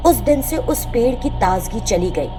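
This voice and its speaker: A woman's voice: on the high side, animated, unhurried, over music. A woman narrating a scripted moral tale as the storyteller.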